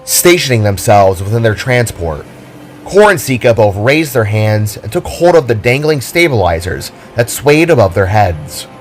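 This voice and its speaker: A man's voice: on the low side, big pitch swings, normal speed. A man reading scripted story narration as the narrator of an audio drama, with music behind him. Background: background music.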